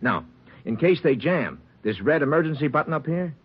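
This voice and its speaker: An actor playing a helpful, plain-spoken elevator operator who explains things step by step in a 1940s radio drama. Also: male voice, a little high, animated, normal speed, slightly rough. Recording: muffled old radio recording.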